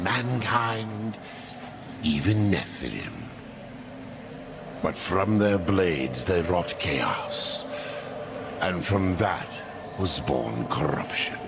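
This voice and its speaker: Portentous keeper of forbidden lore: gravelly, deliberate, sweeping intonation, fantasy game drama with music underneath. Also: male voice, noisy background, exaggerated voice. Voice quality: very deep, creaky.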